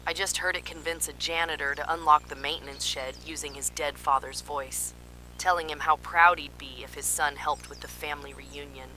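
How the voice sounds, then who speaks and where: Casting: actress as alarmed female field scientist for analog horror. Bright, tense delivery, urgent yet clinical, with faint noise on the recording. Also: low voice, fairly even intonation, moderate pace, rough recording. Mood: grim, disturbed. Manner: urgent, dramatic, clinical reporting. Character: alarmed female park scientist transmitting desperate emergency warnings. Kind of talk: analog horror emergency narration, field report monologue.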